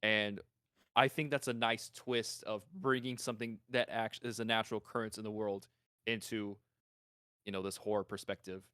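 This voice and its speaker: A man with a fairly low, smooth voice co-hosts a casual podcast. He talks informally and with animation, recorded close and dry with very little room sound. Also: fairly even intonation, normal speed, sharp tone, dry recording. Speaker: podcast co-host.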